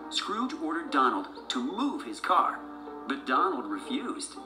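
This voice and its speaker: A man's voice: on the high side, very animated, a little slow, roomy sound, music in the background. A man narrating a children's storybook from a script.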